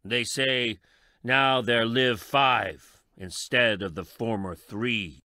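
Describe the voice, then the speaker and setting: An actor playing a brooding, bitter, sardonic eccentric man in a video game, with theatrical, measured delivery. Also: medium pitch, bright, forceful tone, dry recording, studio quality. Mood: wry. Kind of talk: dramatic game voice acting.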